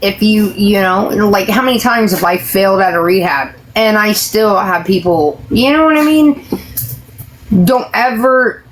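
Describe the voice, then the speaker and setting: A woman's voice: mid-range voice, measured pace, slight background noise, poor audio. Blunt, foul-mouthed woman giving advice. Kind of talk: casual live-stream chat.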